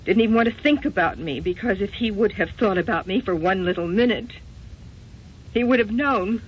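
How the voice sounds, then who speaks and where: An American woman playing a wounded, evasive wife haunted by lost love, speaking in an emotional, halting way in an old-time radio drama. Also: medium pitch, breathy edge, lightly acted. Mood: wounded, anguished. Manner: emotional, halting, old-fashioned genteel exclamations. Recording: dull, noisy old radio recording.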